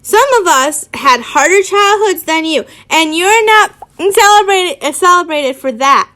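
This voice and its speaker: An American woman voicing a haughty, panicky teenage wizard boy in a story, shrill and sweary. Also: lively intonation, a little slow. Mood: furious.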